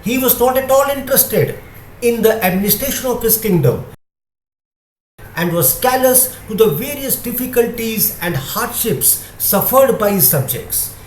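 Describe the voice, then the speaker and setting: A man narrating a scripted moral story in a measured, carefully enunciated storybook manner. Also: sits high, darkish tone. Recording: echoey room with faint noise.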